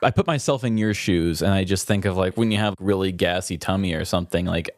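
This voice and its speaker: A man's voice: deep voice, quick pace, slight background noise. A man co-hosting a podcast, telling stories in casual, conversational chat. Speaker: podcast co-host.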